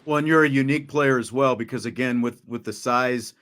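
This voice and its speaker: A man at a middle pitch hosts an interview, circling around his questions with restarts and fillers. He sounds conversational and easygoing. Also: brisk, a bit bright. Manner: rambling questions with repeated restarts. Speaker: interviewer, radio play-by-play announcer.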